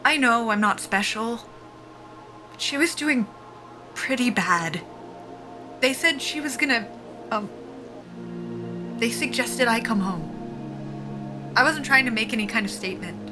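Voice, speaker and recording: An actress playing an anxious, self-deprecating young woman soldier in a sci-fi audio drama, halting and wry in a naturalistic style. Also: a little high, lively intonation, over music. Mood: halting, subdued.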